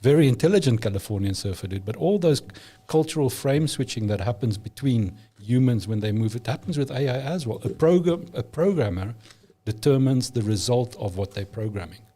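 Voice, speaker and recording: Man, Afrikaans speaker, mellow tone, emphatic, opinionated, podcast guest. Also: medium pitch, animated, a little fast, hardly any pauses. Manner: emphatic, opinionated, frequent restarts. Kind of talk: casual conversation.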